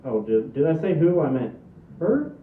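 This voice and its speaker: A man's voice: fairly high pitch, sing-song, normal speed. A man playing a dutiful, exasperated family man, echoey. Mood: reluctant. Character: exasperated, dutiful adult son holding his family together. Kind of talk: comedy table read. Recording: echoey, distant, thin phone-like room recording.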